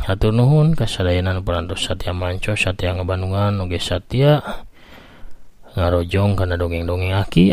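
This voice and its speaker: A man's voice: low-pitched, a little mellow, dry recording. A man with a Sundanese accent, working as a storyteller and host, speaking in a folksy, chatty way. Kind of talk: Sundanese storytelling and chatty reading of listener comments. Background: background music.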